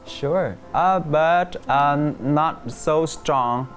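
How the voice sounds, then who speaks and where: A woman's voice: low voice, faint music behind. A woman hosting a casual interview, hesitant and full of fillers. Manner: slow and hesitant, many fillers. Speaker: host.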